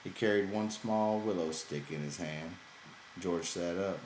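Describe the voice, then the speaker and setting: Low-voiced man narrating in an echoey room. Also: measured pace, a little mellow. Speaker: audiobook reader. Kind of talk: scripted literary narration. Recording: roomy echo, faint background noise.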